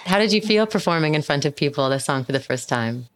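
A woman interviewing a musician, asking gentle, attentive questions. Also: deep voice, expressive, quick pace.

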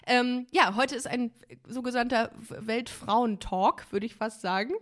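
A woman hosting a relaxed interview, enthusiastic and slightly hesitant, with frequent fillers. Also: a little high, a little slow. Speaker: podcast host. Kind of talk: casual interview chat.

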